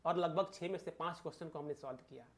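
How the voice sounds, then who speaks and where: A man with a notably high voice teaches exam material informally. He is emphatic, circles back to repeat key phrases and puts rhetorical questions to his viewers. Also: quick pace. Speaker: exam coaching teacher and channel host. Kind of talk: informal exam-analysis lecture addressed to viewers.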